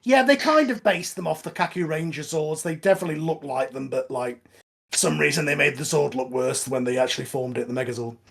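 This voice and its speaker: Higher-pitched man in casual commentary. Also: a little fast, gravelly, fairly bright tone. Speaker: podcast commentator.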